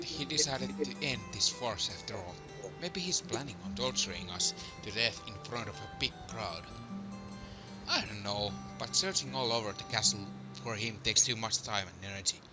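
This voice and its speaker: A man's voice: fairly low pitch, lightly acted. A man playing a wary young hero who narrates his thoughts about demons in an amateur game fandub.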